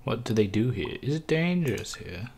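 A man's voice: medium pitch, sing-song, normal speed, vocal fry, darkish tone, slightly rough. A man casually commenting while playing a game, joking and muttering reactions to the screen. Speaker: gameplay commentator. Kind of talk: casual let's-play commentary.

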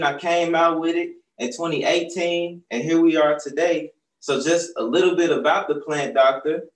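Masked man speaking from across a room. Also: fairly high pitch. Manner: relaxed, conversational, speaks through a face mask. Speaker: children's book author presenting his book. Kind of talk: casual storytime talk to kids. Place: bookstore, seated on a stool among shelves. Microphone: far camera. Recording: roomy distant sound on a video call.

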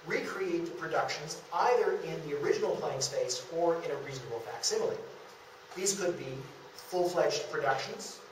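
A young man presenting a prepared, scripted public lecture at a podium in a meeting room. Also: on the high side. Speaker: lecturer presenting a local theatre history talk. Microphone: distant camera microphone. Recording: echoey room, distant camera, background hiss.

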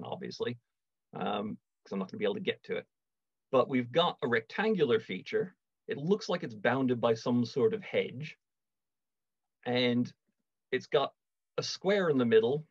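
A middle-aged man giving a measured online lecture on a video call, with wry asides. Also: mid-range voice, slightly rough, no room sound. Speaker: lecturer, archaeology project manager. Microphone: video call webcam. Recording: dull, dry video call audio.

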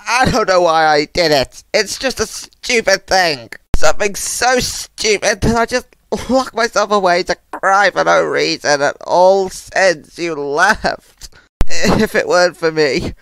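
A guilt-ridden young animatronic clown, played by a man, with a fairly high male voice. He speaks slowly and haltingly, pleading and sorrowful, as in an amateur animated drama. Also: smooth, no echo, poor audio. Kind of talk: emotional comic dub acting.

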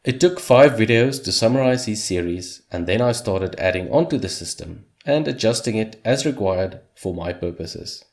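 A man whose first language is Afrikaans, narrating a scripted look back on a year of hobby game development with measured delivery and dry humor. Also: fairly low pitch, lively intonation.

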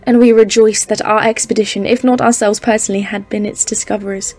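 A woman playing a cautious, stern geologist leading an expedition in an audio drama, speaking in a formal, measured, literary way. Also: mid-range voice, fairly even intonation, a little mellow, close to an everyday voice. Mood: tense, measured. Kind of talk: dramatised narration in an audio play.

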